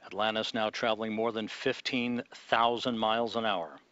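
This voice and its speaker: Calm male launch commentator, dry dull audio. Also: lowish voice, clean tone, no room sound. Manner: measured, calm announcer delivery. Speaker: launch commentator at mission control.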